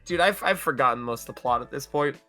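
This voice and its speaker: A young man talks as a podcast guest, his voice bright and sharp but worn hoarse. He tells stories with animated, profane energy. Faint music plays behind him. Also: mid-range voice, fast talker. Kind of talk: casual chat.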